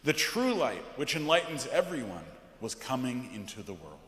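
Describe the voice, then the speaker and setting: A man, a pastor, speaking solemnly and deliberately from a church pulpit in a liturgical setting. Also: mid-range voice, sing-song, a bit bright. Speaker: Lutheran pastor. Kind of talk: liturgical scripture reading. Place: large church interior, from the pulpit. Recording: echoey church with long reverb.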